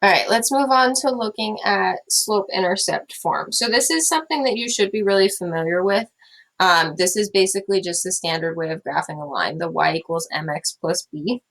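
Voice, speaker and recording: Young female teacher, slightly scratchy voice, calm explanatory lecture delivery. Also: lowish voice, normal speed. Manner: steady explanatory pace with fillers. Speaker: math teacher. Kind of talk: recorded classroom lecture.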